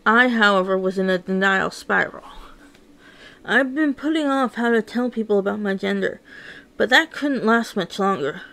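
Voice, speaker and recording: A woman's voice: medium pitch, normal speed, creaky, fairly bright tone, low-quality recording. A woman narrating a first-person story read-along in an emotive, theatrical way.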